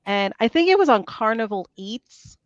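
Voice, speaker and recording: Woman guest, lively storyteller, smooth clear voice, dry lo-fi call audio. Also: a little high, normal speed, no echo. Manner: animated storyteller, quotes her own thoughts. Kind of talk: casual chat.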